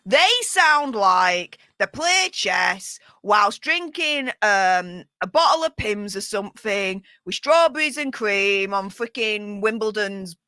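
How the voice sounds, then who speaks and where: A woman's voice: medium pitch, animated, slow, hardly any pauses, pushed and bright, no room sound. A woman from Yorkshire with a Yorkshire English accent, chatting bluntly and joking as a podcast guest. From Yorkshire, England. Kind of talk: casual chat.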